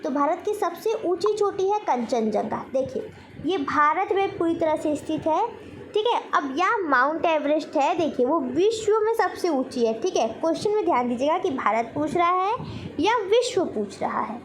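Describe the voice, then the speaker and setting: A woman teacher with an extremely high voice and an Indian accent runs through a quiz lesson for students. She poses questions and answers them herself, repeating key points. There is some faint noise in the recording. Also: lively intonation, moderate pace. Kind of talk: general knowledge quiz lesson for exam students.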